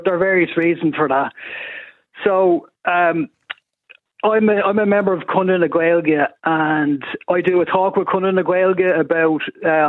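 An Irish man calls in to a radio show and makes a measured, reasoned case, hedging as he goes. His voice sits a touch high. It is thin and phone-filtered. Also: no echo. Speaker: caller. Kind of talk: calm radio call-in argument. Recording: phone line.

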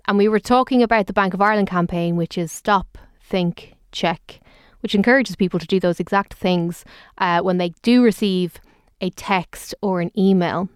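A woman hosting a radio tech show, presenting and interviewing in a clear, friendly presenter style. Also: on the low side, dry recording, studio quality.

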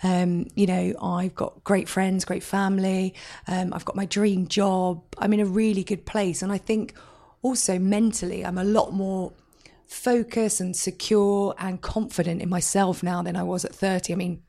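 A woman in her forties with a husky, hoarse voice from a sore throat, chatting in a relaxed, self-deprecating way as a podcast guest. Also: medium pitch, moderate pace, no echo. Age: 40s. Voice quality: husky, scratchy. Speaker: podcast guest, news anchor. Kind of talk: casual interview chat. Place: at home in her flat.

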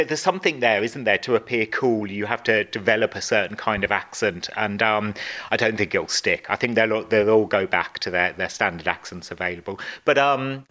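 Man from England, conversational, rhetorical asides, bright tone, slightly low pitch. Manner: chatty, musing, asks rhetorical questions. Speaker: guest. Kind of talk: casual chat.

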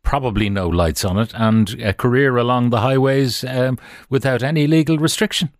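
A man's voice: medium pitch, moderate pace, no room sound, clean studio sound. A man with an Irish accent hosting a current affairs radio interview, probing in a conversational, colloquial way.

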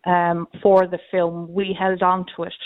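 A woman who owns a pub, talking on a radio phone-in in a friendly, measured way. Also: lowish voice, completely flat delivery. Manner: friendly, measured, frequent "yes". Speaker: pub owner, caller. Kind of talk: phone interview. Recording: tinny phone line.

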